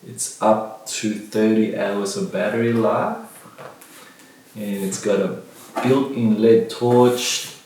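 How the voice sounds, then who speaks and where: A man's voice: fairly low pitch, steady tone, slow pace, darkish tone. A middle-aged man giving a casual unboxing commentary in a vlogger's style. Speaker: vlogger reviewing gear. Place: indoor room with bare walls, at a table. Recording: very echoey room, distant mic, faint noise.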